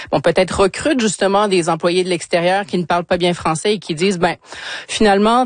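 A woman, hosting a radio interview and asking measured questions. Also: fairly low pitch, no room sound.

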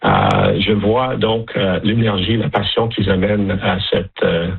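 A man's voice: on the low side, expressive, measured pace, vocal fry, slightly rough. A man from Alberta with a Canadian French accent, answering radio interview questions with many hesitations and restarts. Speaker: interview guest, director general of a francophone federation. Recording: lo-fi phone-line radio with faint music.

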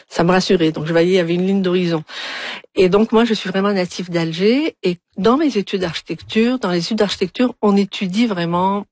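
A woman's voice: mid-range voice, a little fast, a little mellow, dry recording. A middle-aged woman from Algeria, an urban planner answering interview questions, often restarting and repeating words as she searches for them.

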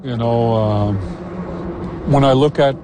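A man's voice: mid-range voice, slow pace, background noise. A man talking casually as a bike tour guide, recorded outdoors. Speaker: bike rental operator and guide. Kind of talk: casual chat. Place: outdoors on a forest trail.

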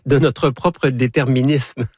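Radio host, dry studio. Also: male voice, mid-range voice, fairly even intonation, slow pace, darkish tone, no echo. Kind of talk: radio interview and show teasers. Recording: dry studio with faint music.

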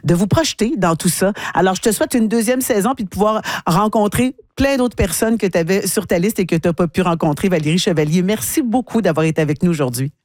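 A woman's voice: mid-range voice, fairly quick, hardly any pauses, a little mellow, no room sound, studio quality. A woman with a Québécois accent hosting a radio interview, engaged and affirming. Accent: Québécois French. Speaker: radio host. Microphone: studio mic.

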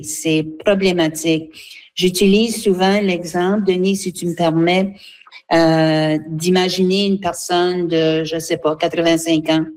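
A woman's voice: fairly low pitch, a little mellow. A woman, explaining earnestly as an association representative in a spontaneous interview.

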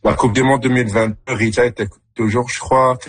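A man, a guest in an interview, talking haltingly with many fillers. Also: medium pitch, steady tone, a little hiss or hum. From Morocco. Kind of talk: radio interview.

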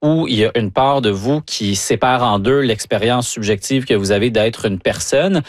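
A middle-aged man hosting a radio interview, relaxed and chuckling, with frequent hesitations. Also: mid-range voice, normal speed, no room sound, clean studio sound. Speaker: radio host.

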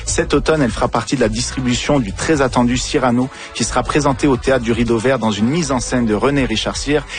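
A man hosting a radio show, reading smooth introductions and asking follow-up questions. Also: mid-range voice, quick pace, faint music behind. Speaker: radio host. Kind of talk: radio interview. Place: radio studio.

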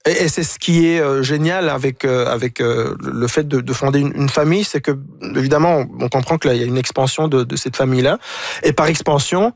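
A man, a guest on a talk show, speaking reflectively and haltingly, often repeating words as he searches for them. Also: medium pitch, gravelly, no echo, clean studio sound. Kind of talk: reflective conversation.

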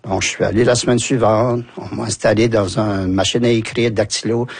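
A man in his sixties from New Brunswick with a Canadian French accent, telling stories as a radio interview guest, pausing often with fillers and restarts. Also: fairly low pitch, fairly even intonation, gravelly, breathy edge, dry recording. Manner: hesitant, many fillers and restarts. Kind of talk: relaxed storytelling in conversation.

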